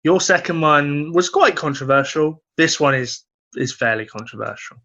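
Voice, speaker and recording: A man's voice: fairly high pitch, lively intonation, normal speed, pushed and bright, highs cut off. A man co-hosting a comedy podcast, chatting casually and emphatically about his opinions, repeating words for effect.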